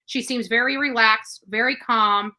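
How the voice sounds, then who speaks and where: A woman talks through a true crime case in a casual, chatty commentary, with fillers and restarts. Her voice is bright and sharp, and it sits a little high. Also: steady tone, unhurried.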